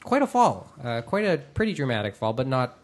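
A man hosting a podcast in casual chat, with a halting, rambling delivery full of false starts. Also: medium pitch, sing-song. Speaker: podcast host. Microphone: microphone through a mixer.

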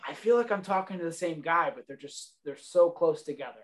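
A man opens his podcast with an old Marine friend. His voice sits a little high, and he talks loosely and profanely, full of fillers and casual swearing. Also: brisk. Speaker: podcast host, Marine veteran.

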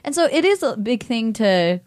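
A woman's voice: fairly high pitch, big pitch swings, normal speed, darkish tone, no room sound. A woman hosting an interview, affirming and conversational as she responds to her guest. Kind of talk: casual interview chat.

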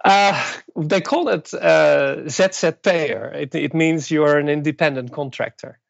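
A middle-aged man from the Netherlands with a Dutch accent, speaking as an interview guest in a thoughtful way with many pauses. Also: a little high, vocal fry, no echo, lo-fi sound. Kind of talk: interview conversation.

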